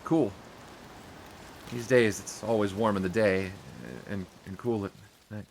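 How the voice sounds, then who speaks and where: An actor playing an earnest young sportswriter in an audio drama, speaking in a halting, hushed, apologetic way. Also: male voice, fairly low pitch, steady tone, a little hiss or hum, close to an everyday voice, rough recording. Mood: regretful.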